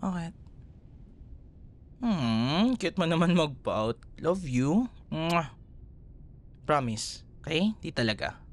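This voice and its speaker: A man's voice: a little high, very animated, slow pace, pauses often. A man with a Filipino accent playing an affectionate, teasing, protective young boyfriend in an intimate ASMR roleplay, speaking in a tender, soothing way. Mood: tender reassuring. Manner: tender, playful, soothing, softly murmured.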